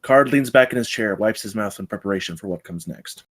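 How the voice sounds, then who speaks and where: Fast-talking low-voiced man narrating. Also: fairly bright tone. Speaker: narrator reading stage directions. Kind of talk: scripted narration at a table read.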